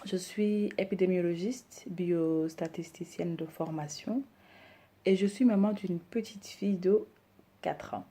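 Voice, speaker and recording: A woman in her thirties, from Rwanda and raised in Belgium, introducing herself and her decor business, hesitant with many pauses. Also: lowish voice, dark tone, breathy edge. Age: 30s. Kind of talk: personal introduction to camera.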